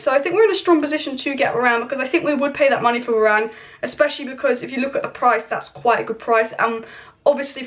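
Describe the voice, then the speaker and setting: Fast-talking young woman with a hoarse voice. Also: high-pitched, a bit bright. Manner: chatty, opinionated, speaks straight to viewers. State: losing her voice, hoarse. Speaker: football channel host. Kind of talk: casual transfer news update. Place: home with kitchen clatter nearby. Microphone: camera mic.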